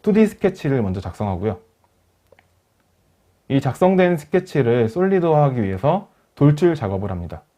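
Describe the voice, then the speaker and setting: A man presenting a software webinar, explaining step by step in polite, formal speech. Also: mid-range voice, sing-song, normal speed. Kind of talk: software demonstration, part rehearsed narration.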